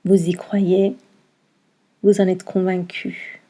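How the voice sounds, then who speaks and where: A woman leads a guided meditation as a yoga nidra teacher. Her voice is mellow and slightly husky, with little melodic movement. She gives short, soothing instructions and leaves long, calm pauses between them. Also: fairly low pitch.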